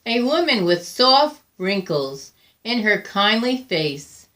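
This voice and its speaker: Lady reading a kids book out loud, takes her time, really expressive, voice goes up and down. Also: mid-range voice. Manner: deliberate, expressive phrasing. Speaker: reader of a picture book. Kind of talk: read-aloud children's storytelling.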